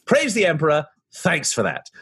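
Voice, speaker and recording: A man with a husky voice role-playing in a tabletop game, animated and sardonic. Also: a little high, normal speed. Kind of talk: in-character role-play.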